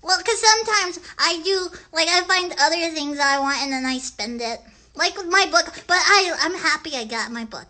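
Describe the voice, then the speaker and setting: A woman voicing an excitable, earnest little kid puppet on a children's livestream show, chatty and rambling, stumbling over big words. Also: extremely high pitch, moderate pace, full character voice. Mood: excited, friendly.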